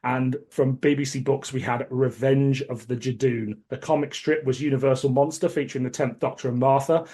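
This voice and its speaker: A man's voice: medium pitch, fairly even intonation, brisk, few pauses, dark tone, slightly rough. A British man hosting a podcast, moving between prepared notes and chat. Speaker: podcast host. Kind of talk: podcast talk, reading prepared notes and chatting.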